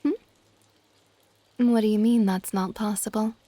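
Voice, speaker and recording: A woman voicing an ancient, gentle and possessive dragon goddess in a soft, intimate, partly whispered ASMR roleplay. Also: medium pitch, steady tone, measured pace, no room sound, lightly acted. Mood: gently probing. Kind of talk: ASMR romantic audio roleplay.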